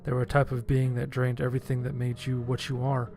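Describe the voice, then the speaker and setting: Man, horror story narrator, first-person scripted reading, mid pitch, faint background music. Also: flat delivery, quick pace, vocal fry, warm tone.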